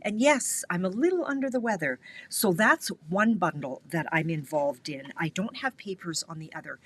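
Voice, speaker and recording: A middle-aged woman from Canada hosting a live craft tutorial, her voice stuffy and a little scratchy from a cold, chatting and greeting viewers by name. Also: on the low side. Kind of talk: casual live-stream tutorial chat.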